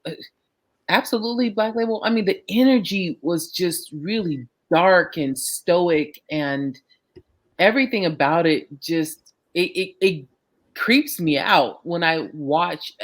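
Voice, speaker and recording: Reaction show co-host, mid pitch, agreeable, slightly hesitant, talks casually and restates points. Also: lively intonation, measured pace, a bit bright. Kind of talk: conversational reaction commentary.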